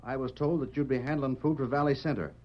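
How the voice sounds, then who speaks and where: A man's voice: mid-range voice, fairly even intonation, fast talker, lightly acted. A man playing a desperate, stubborn townsman in an old radio western, blunt, insistent and folksy. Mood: pleading. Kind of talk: 1940s radio western acting. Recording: dull vintage radio recording.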